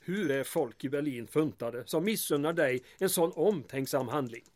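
An actor playing a tactful, inquisitive small-town mayor in a comic audio drama, polite and slightly hesitant. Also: male voice, a little high, expressive, a little mellow, lightly acted. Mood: reassuring.

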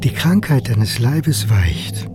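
A ghostly medieval friar speaks in a radio drama with slow, solemn gravity. He sounds fatherly and prophetic, in a middle-pitched voice, with music clearly present behind him. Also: sing-song.

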